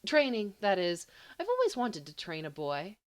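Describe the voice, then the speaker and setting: A woman's voice: medium pitch, huge pitch swings, moderate pace. A woman reading the part of a wry, affectionately bossy teenage girl in a storybook, teasing and mildly dry.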